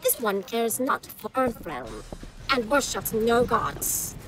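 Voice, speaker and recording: A woman's voice: on the high side, big pitch swings, slow pace, heavy background noise. An actress playing a haughty, menacing female warrior villain in a video game, with an imperious, formal manner. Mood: defiant. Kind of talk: video game cutscene acting.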